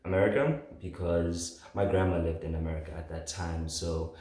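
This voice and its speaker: A man's voice: deep voice, fairly even intonation, moderate pace, mellow. A man in his late twenties from southern Africa telling his story casually as a solo podcast host, in an informal, conversational way. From southern Africa, born in Zambia. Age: late 20s. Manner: informal, conversational, often says "like". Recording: very echoey room.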